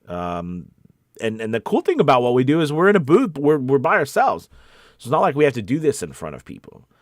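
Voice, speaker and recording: A man's voice: medium pitch, wildly swooping pitch, fairly quick, no echo, studio quality. A man chatting casually and encouragingly as the host of a voice acting livestream, in an earnest, conversational, rambling way. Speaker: voice actor hosting a coffee-time livestream.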